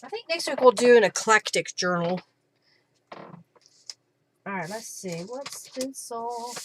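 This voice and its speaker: A woman's voice: fairly high pitch, lively intonation, slow pace, fairly bright tone, slight background noise. A woman hosting a craft livestream, casually talking through each step and thinking aloud as she works. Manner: informal, talks to herself and viewers while working. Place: live stream while working with craft materials.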